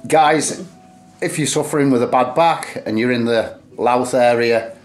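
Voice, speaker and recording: A man's voice: mid-range voice, a little slow. A man chats casually to camera as a vlogger, rambling and repeating himself a little. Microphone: camera at arm's length. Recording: very noisy camera audio.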